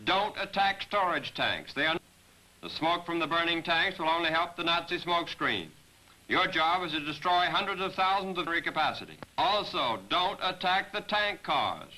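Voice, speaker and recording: A man narrating a scripted military training film as a briefing, in a measured, directive manner, on a 1940s film soundtrack. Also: a little high, lively intonation, sharp tone, poor audio. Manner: measured, directive, addressing aircrews. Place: voice-over recording for a 1943 film.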